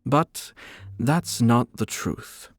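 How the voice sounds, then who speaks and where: An actor playing a polite, shrewd nobleman in a video game, speaking in a measured, formal, courteous way. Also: mid-range voice, no room sound, soft background music. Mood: quietly shrewd. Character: composed, polite young nobleman commissioner, shrewd and whimsical.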